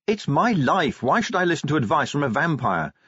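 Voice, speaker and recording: A man performs a female werewolf officer in an audiobook. The voice is raised in pitch and prickly, quick to snap and always on the defensive. The recording is a little muffled. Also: very animated, fairly bright tone, breathy edge, no echo, exaggerated voice. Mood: defensive, irritated. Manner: snappish, defensive.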